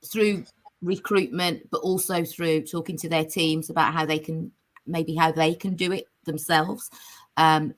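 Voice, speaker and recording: A woman guest with a croaky morning voice, speaking slightly low for a woman. She explains her work at a steady, measured pace. Her talk is loose and full of fillers. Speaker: guest, founder. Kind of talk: casual chat.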